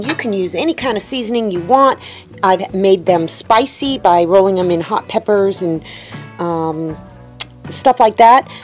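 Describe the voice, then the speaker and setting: A woman's voice: a little high, a little mellow. A woman making a homestead vlog, chatting casually to her viewers as she shows a cooking step by step. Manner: chatty, upbeat, talks to viewers as folks. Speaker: homestead vlogger. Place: indoors in a small tiny-house kitchen.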